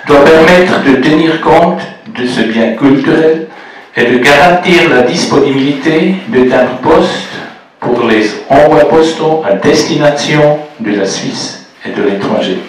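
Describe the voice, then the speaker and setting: A man with a Swiss German accent, French not his first language, giving a measured, prepared speech at a formal ceremony as a postal official. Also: mid-range voice, slight background noise. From German-speaking Switzerland. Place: large echoey reception room. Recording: echoey room, speaker far from the mic.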